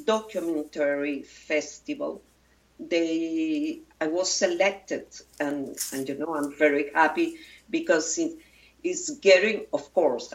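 A woman talks about her documentary in an interview. She speaks slowly and haltingly, with many fillers and restarts. Her fairly low voice sits a little back from the microphone. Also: distant mic, poor audio. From Venezuela. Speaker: documentary filmmaker.

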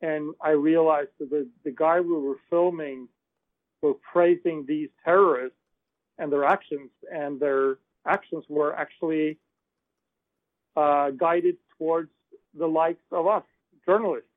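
Male director, measured hesitant delivery, slightly high voice, dry telephone sound. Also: steady tone, dry recording. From Norway. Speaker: filmmaker. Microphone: phone. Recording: tinny dry phone line.

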